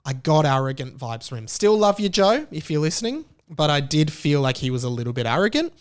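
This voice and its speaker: A young man with an Australian accent hosts his own podcast and talks through it casually, with jokey, playfully opinionated asides. His voice sits a little high for a man and sounds smooth and resonant. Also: sing-song, a little fast. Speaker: podcast host.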